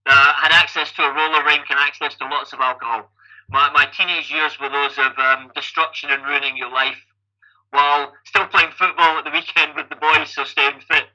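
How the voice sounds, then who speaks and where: A man's voice: fairly high pitch, fairly even intonation, moderate pace, bright, forceful tone, poor audio. A man in his fifties with a Scottish accent, a podcast guest telling his life story conversationally, often restarting his phrases. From Scotland. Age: 50s. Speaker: podcast guest, amateur endurance athlete.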